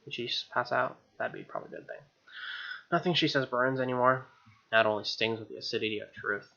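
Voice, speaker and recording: A man's voice: mid-range voice, fast, gravelly, bright, forceful tone, muffled recording. A man hosting a let's play, reading game text expressively with wry, casual asides.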